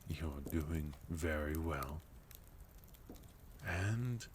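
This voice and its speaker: A man playing a sophisticated, courteous villain leader in a soft-spoken, gently teasing ASMR roleplay. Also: extremely low pitch, lively intonation, drawn-out words, noisy background, full character voice. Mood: gentle, caring. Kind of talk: ASMR roleplay, intimate scripted monologue to listener.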